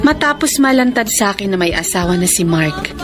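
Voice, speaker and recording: A woman's voice: mid-range voice, animated, brisk, loud music behind the voice, lightly acted. A woman with a Filipino accent playing a betrayed, heartbroken young lover in a melodramatic radio drama. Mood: sorrowful confession. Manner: melodramatic, emotional.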